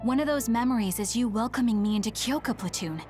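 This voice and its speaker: A woman's voice: a little high, fast talker, a little mellow, faint music behind, natural voice. A woman voicing an earnest, loyal young female soldier in a video game, sincere and serious. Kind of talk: English video game voice acting.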